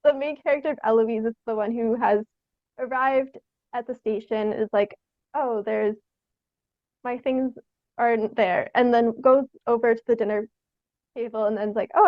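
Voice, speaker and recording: A young woman from Canada, chatting casually in an interview as a theatre artist, haltingly thinking aloud with lots of "like". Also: on the high side, no echo, lo-fi sound. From Sault Ste. Marie, Canada. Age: young adult.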